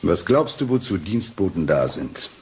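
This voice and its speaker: Radio play role: patronizing master of the house, deep and animated, coldly domineering. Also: male voice, moderate pace, background noise. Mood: cold, commanding. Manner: smooth, needling, patronizing. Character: domineering, condescending Victorian husband and master of the house. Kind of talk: German radio play acting.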